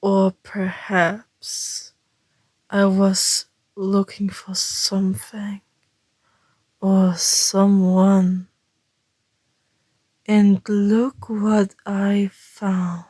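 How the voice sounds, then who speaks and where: A woman playing an ancient, teasing vampire in an intimate ASMR roleplay, breathy and often whispering, calm and deliberate. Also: medium pitch, no room sound. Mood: musing, flirtatious. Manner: slow, deliberate, teasing, with long pauses.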